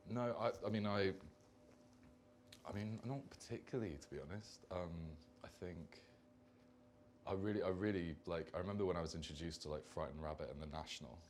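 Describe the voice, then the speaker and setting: Deep-voiced singer rambling on stage. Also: male voice, a little fast, slight background noise. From London. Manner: rambling, self-correcting, laughing. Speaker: band singer. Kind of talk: live Q&A chat. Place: concert venue stage.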